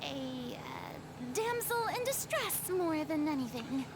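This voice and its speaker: Very high, theatrical young diva. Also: female voice, very animated, slow, heavy background noise, exaggerated voice. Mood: sheepish. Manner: dramatic, flowery, stage-like delivery. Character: theatrical young woman, former archon, self-important yet sheepish. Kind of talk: anime fantasy game voice acting. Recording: game music over the voice.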